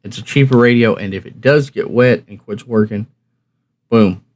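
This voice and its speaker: A man with a fairly low voice talks straight to his viewers in a casual, instructional way. He sounds plain-spoken and folksy, rambling a little as he explains things like the host of a hobby review channel. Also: normal speed, a little mellow.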